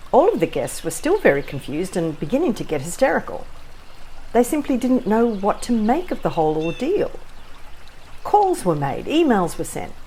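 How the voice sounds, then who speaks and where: Female actor as whimsical, self-satisfied woman, audio drama narrator. Lower register, theatrical and wry, light noisy ambience. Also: a little mellow. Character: self-satisfied, whimsical Australian woman recalling a wedding trip. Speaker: narrator and lead of an audio play. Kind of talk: scripted first-person storytelling.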